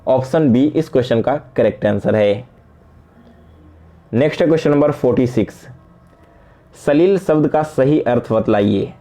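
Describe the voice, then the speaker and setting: A man's voice: mid-range voice, normal speed, pauses often, dark tone, faint music behind. A young man working as an exam-prep tutor, explaining quiz questions conversationally, asking rhetorical questions, checking in and mixing in English words. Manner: mixes English words into speech.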